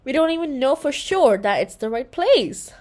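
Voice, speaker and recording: A woman's voice: high-pitched, big pitch swings, moderate pace, darkish tone, voice pushed up. A woman voicing a confident, bossy eight-year-old girl in a children's storybook, with an insistent, matter-of-fact manner. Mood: defensive. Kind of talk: children's storybook read-aloud.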